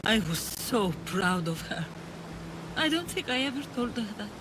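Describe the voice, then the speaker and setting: A woman's voice: medium pitch, sing-song, moderate pace, background noise, close to an everyday voice. A woman playing a regretful, grieving parent in a video game drama. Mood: wistful, grateful. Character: sorrowful, regretful parent of a wayward daughter. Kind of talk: video game cutscene acting.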